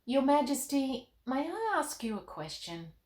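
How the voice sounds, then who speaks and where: A woman reading the part of an earnest, questioning nine-year-old girl in a children's storybook, with an expressive manner. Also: high voice, a little slow, voice pushed up. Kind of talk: storybook read-aloud. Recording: roomy, echoing indoor sound.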